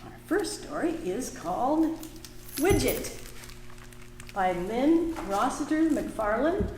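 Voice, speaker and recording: A woman leads a children's storytime in a library, singing rhymes and reading a picture book aloud with a slow, sing-song lilt. Her voice sits a little high. The sound is roomy and echoey, picked up from some distance, with faint background noise. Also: big pitch swings, darkish tone. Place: library room. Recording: echoey room, voice from afar.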